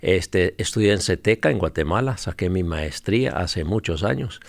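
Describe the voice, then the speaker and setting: A man's voice: sits low, lively intonation, moderate pace, gravelly, no room sound. A middle-aged man with a Honduran Spanish accent, telling his story as a podcast guest with lots of fillers. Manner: storytelling, earnest, with frequent fillers. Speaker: guest missionary leader. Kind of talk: conversational podcast interview.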